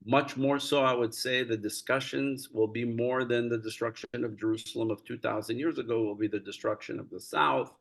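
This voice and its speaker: A man raised in the United States, a rabbi speaking earnestly in a lecture-style talk over a video call, warming into animated storytelling. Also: mid-range voice, a little fast, creaky, hardly any pauses. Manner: earnest, storytelling, gets animated and laughs while recounting.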